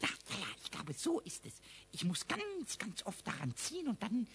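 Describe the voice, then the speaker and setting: A man playing a cheeky little male kobold in a children's radio play, with a shrill, raspy, squeaky voice, stammering and repeating words in a childlike, whiny way. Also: wildly swooping pitch, normal speed, fairly bright tone, full character voice. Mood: curious, scheming.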